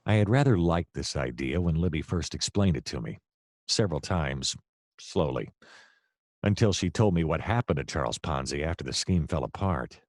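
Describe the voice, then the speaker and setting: An actor playing a hapless hard-boiled private detective, narrating his case in a wry, deadpan noir radio-play style. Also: rumbling low, moderate pace, no room sound, studio quality.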